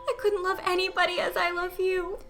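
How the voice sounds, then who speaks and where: Woman playing a very high, earnest young girl. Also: little pitch movement, fairly quick, pushed and bright, faint music behind. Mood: sobbing, devoted. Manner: earnest, emotional. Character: devoted, earnest young girl, bosom friend. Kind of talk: dramatized storybook reading.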